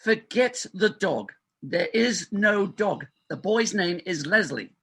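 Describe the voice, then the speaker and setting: A man's voice: high-pitched, expressive, slow pace, fairly bright tone, husky, full character voice. A man playing a desperate, insistent married doctor who cajoles a colleague in a stage farce. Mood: pressing, exasperated. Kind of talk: stage farce readers theater acting.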